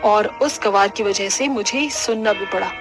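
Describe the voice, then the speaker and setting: A woman's voice: mid-range voice, moderate pace, music in the background, natural voice. A woman playing a stern middle-aged mother-in-law in a family cartoon, speaking bluntly. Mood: scolding. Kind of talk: Hindi cartoon family drama dialogue.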